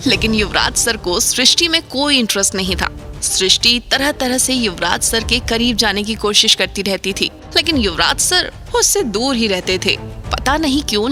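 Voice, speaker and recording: Woman playing a teasing young college guy, chatty, high voice, music bed behind. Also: bright, forceful tone. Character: playful young male college student, teasing. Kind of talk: Hindi audio drama acting.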